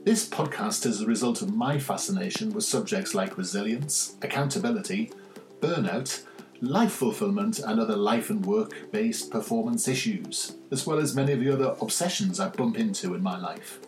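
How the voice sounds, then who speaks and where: Man, podcast host, measured delivery, echoey room, faint music behind. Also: fairly low pitch, lively intonation. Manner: measured, uses "um" between phrases. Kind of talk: podcast intro and interviewing.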